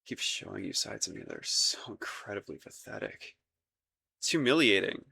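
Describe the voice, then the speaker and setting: A man's voice: fairly low pitch, big pitch swings, moderate pace, gravelly, pushed and bright, a little hiss or hum, full character voice. A young man voicing a shy, awkward crown prince in a romantic audio roleplay, stumbling over his words out of embarrassment. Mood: embarrassed. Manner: flustered and self-deprecating, stumbling over words. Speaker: ASMR voice actor.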